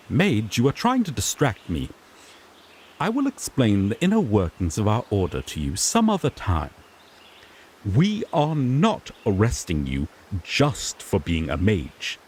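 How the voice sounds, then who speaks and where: A man's voice: on the low side, huge pitch swings, slow pace, darkish tone, slight background noise, full character voice. A man playing a sassy griffon knight in a fantasy audio drama, an earnest rookie lawman who explains things formally with mild exasperation. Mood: officious, patient.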